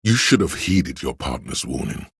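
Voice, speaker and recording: A man narrates a video game with an exceptionally deep voice. It is full of gravel and heavy husk, and the delivery is measured and dramatic. The sound is dry, with hardly any room on it. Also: very animated, a bit bright, dry recording. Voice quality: gravelly, husky.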